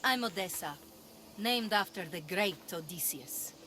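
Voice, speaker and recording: A woman's voice: lowish voice, lively intonation, unhurried, sharp tone. A woman voicing a proud, spirited young pilgrim in a cinematic video game, self-assured and passionate. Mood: relieved, friendly. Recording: outdoor ambience noise behind voice. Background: ambient outdoor noise.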